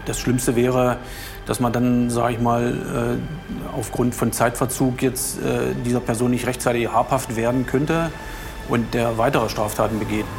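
A male police investigator gives an interview in a halting, matter-of-fact way. His medium-pitched voice has noticeable fry, and music plays beneath it. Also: level tone. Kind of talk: documentary interview statement. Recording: music laid under interview.

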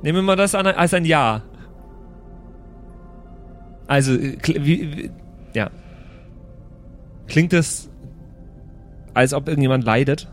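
A man's voice: on the high side, takes it slowly, pauses often, faint music behind. Hesitant man arguing in a tabletop game. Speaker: player in a tabletop role-playing game. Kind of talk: improvised in-character table talk.

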